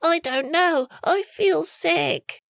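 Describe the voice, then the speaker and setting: A woman voicing a sick young boy prince who talks in a weak, drawn-out whine. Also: very high voice, vocal fry, a bit bright, dry recording, studio quality, voice pushed up, exaggerated voice. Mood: weak, whiny.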